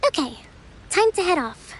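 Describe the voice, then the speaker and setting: High-voiced, composed young priestess leader. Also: female voice, sing-song, fairly bright tone, a little hiss or hum, full character voice. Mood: composed, earnest. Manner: measured, formal, articulate, reassuring. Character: young priestess leader, calm, earnest, strategic. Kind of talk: scripted video game voice acting.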